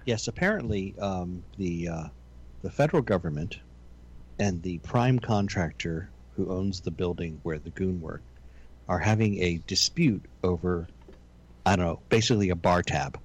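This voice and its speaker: Low-voiced joking male co-host. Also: lively intonation, husky. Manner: jokey, quick reactions. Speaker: podcast co-host. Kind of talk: casual comedy chat.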